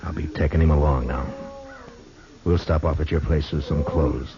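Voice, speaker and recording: Casting: American frontier lawman, extremely deep voice, grave, firm but kind, muffled vintage radio sound. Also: steady tone, music in the background. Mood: gently urging. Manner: sober, halting, plain-spoken. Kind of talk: 1950s radio western acting and narration. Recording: muffled 1950s radio recording.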